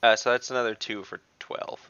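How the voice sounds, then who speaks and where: A man's voice: mid-range voice, fairly even intonation, brisk, pushed and bright, clean tone, no echo, highs cut off. A man chatting casually as a player in an online tabletop game, jokey and often thinking aloud.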